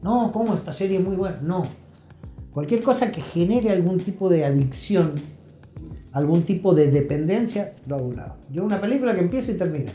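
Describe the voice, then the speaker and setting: A man's voice: high voice, lively intonation, fairly quick, mellow. A middle-aged Argentine man chatting reflectively as an interview guest, explaining things and checking in with short tag questions. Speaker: interview guest, health professional. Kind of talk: casual, reflective interview talk. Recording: roomy sound with music.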